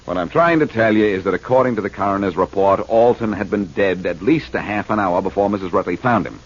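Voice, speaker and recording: A man's voice: fairly low pitch, lightly acted. An actor playing a glib, confident, wisecracking male detective in a 1940s radio drama. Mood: dry, needling. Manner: glib, teasing, quick with quips. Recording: dull 1940s radio broadcast recording.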